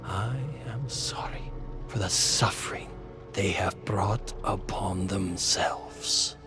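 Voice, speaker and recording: A man's voice: deep voice, takes it slowly, over music, voice pushed down. A man voicing a proud, brooding, centuries-old vampire lord in a dramatic story, grave and imperious in manner. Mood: grieving whisper.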